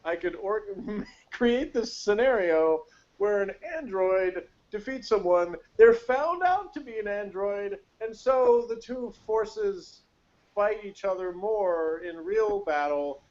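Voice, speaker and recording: A man with a slightly high-pitched voice talks casually about television science fiction. He rambles and feels around aloud for the details as a co-host. The audio is a little dull and lo-fi. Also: expressive, slow pace, darkish tone. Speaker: podcast co-host. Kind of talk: casual sci-fi TV review chat.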